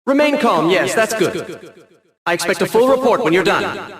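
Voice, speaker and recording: An actor playing a haughty, eccentric young genius villain in a video game, with a light youthful voice and precise, wordy, theatrical diction. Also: male voice, high-pitched, very animated, normal speed, a bit bright, reverberant. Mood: smug encouragement. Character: small, prim, eccentric genius villain, smug and condescending.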